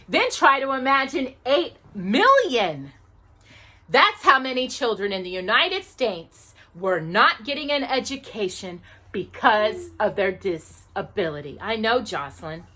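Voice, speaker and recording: Female storytime reader, higher-pitched and unhurried, very expressive, leaning hard on key words. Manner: emphatic, dramatic pauses and stresses on key words. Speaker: storytime host reading a children's book aloud. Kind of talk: animated children's read-aloud with asides to a listener.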